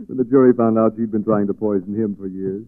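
An actor playing a cool, resolute gentleman sleuth in a 1940s radio drama, assured and urbane. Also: on the low side, fairly even intonation, moderate pace, close to an everyday voice. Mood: wry. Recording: phone-like 1940s radio recording.

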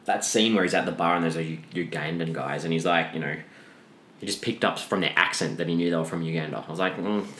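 A man's voice: quick pace, fairly bright tone. A man hosting a casual film discussion, griping informally and giving his opinions in a conversational way. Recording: echoey room, noticeable hiss and rumble.

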